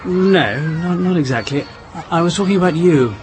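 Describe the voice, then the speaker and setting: An actor playing an urbane, persuasive English diplomat and husband in a radio drama. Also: male voice, a little high, lively intonation, moderate pace, close to an everyday voice. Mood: coaxing, grave. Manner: urbane, old-fashioned, hesitant when coaxing. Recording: clear noise behind the voice.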